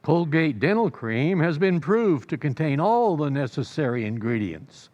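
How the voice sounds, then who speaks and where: A man's voice: a little high, very animated, unhurried, a little mellow. A man announcing an old-time radio show and its advertisement in a formal, emphatic style. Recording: dull, long-reverb recording.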